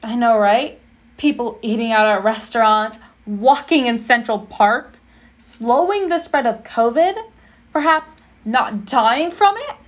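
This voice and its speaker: An actress playing a sarcastic, mocking server on a train diner car in a comedic radio play. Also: female voice, a little high, animated, measured pace, lo-fi sound.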